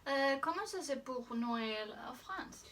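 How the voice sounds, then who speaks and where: A woman in her thirties from Spain hosting an interview, asking simple questions slowly and carefully and murmuring soft acknowledgements. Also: high voice, animated, distant mic, slight background noise. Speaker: host interviewing a childhood friend. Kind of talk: scripted interview questions in learner French.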